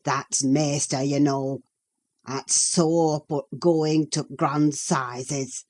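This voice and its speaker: A woman voicing a surly, preachy elderly male servant with a Yorkshire dialect, in a slow grumbling way. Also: sits low, steady tone, a little mellow, heavily acted.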